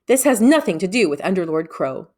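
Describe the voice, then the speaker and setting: Impatient elderly magician played by a female reader, commanding, curt, lively intonation, storybook fantasy. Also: on the low side, brisk. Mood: exasperated. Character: stern, impatient old male wizard master.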